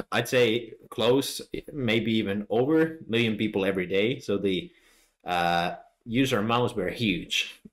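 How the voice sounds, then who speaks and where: A man with a Finnish accent gives a technical talk at a developer conference. His voice sits low, and he explains in a friendly way. He often pauses on "uh" and restarts his phrases. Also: a bit bright. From Finland. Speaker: conference speaker.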